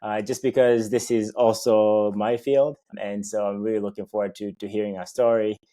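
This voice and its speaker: A man's voice: fairly low pitch, little pitch movement, moderate pace, warm tone. A man hosting a podcast interview, eager but hesitant, with many fillers. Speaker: podcast host, surgical trainee.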